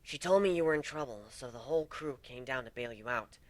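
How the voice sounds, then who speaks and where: Man voicing a soft-spoken, tough female griffin. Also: mid-range voice, lively intonation, fairly quick, dry recording, lightly acted. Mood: tender, worried. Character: tough, tender female griffin lover, first mate.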